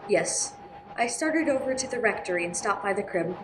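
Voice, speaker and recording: Gentle nun played by a woman, earnest, sorrowful, hesitant, mid-range voice, radio play, background noise. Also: medium pitch, expressive, normal speed, lightly acted. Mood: earnest, apologetic. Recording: clear background noise, room ambience.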